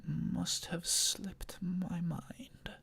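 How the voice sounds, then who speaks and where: A reader whispering the part of a sly, sarcastic male lion in a breathy, husky voice. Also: medium pitch, level tone, very slow, a bit bright, pitched below the performer's own voice, heavily acted. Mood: sneering, sarcastic.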